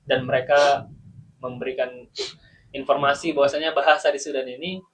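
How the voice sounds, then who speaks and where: A man with an Indonesian accent, a guest on a casual podcast, telling about his time as a student in Sudan with lively, laughing storytelling. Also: mid-range voice, normal speed, a little hiss or hum, low-quality recording. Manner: animated storytelling, laughs while recounting.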